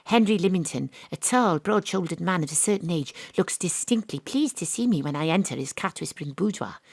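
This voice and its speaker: A woman playing a world-weary, wry private detective, narrating a noir audio drama in a deadpan way. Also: fairly low pitch, fairly quick, creaky, dry recording. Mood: deadpan, observant. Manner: deadpan, dry. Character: female private detective, world-weary, wry, hard-boiled ex-rugby star.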